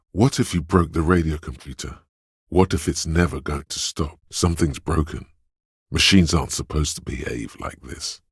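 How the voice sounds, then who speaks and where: A man voicing the brooding, sly inner voice of a detective's mind in a video game, narrating in a measured, theatrical way with a gravelly, husky voice. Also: a bass voice, dry recording, clean studio sound, full character voice. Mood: measured, knowing. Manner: measured, deliberate, theatrical delivery. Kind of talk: dramatic scripted game narration of inner thoughts.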